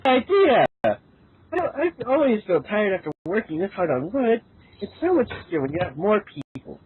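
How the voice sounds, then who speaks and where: A man's voice: high-pitched, very animated, normal speed, husky. A man reading casual dialogue in an amateur style. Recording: tinny, band-limited, noisy amateur recording.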